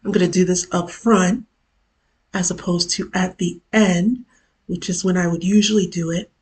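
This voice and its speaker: A woman with a hoarse, worn-out voice, casually chatting as host of her own live stream during a work lunch break, with chatty self-deprecating asides. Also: lowish voice, steady tone, normal speed, a little mellow. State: hoarse, lost voice from illness. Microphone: camera mic.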